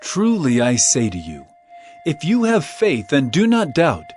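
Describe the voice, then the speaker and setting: An earnest, highish-voiced holy teacher. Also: male voice, wildly swooping pitch, a little slow, darkish tone, faint music behind. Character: male religious teacher, authoritative, earnest, commanding. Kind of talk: audio drama acting.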